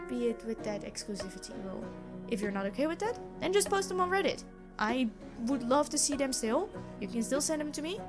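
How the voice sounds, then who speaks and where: A woman audio creator talking casually to her listeners in a friendly, chatty way. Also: on the high side. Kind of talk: casual channel sign-off to listeners.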